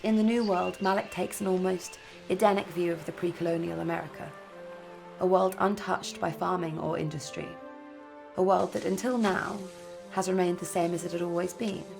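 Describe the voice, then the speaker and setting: A woman narrates a scripted video essay in a fairly low voice with noticeable vocal fry. She reads as a narrator, with clear music playing behind her. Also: fairly even intonation, normal speed.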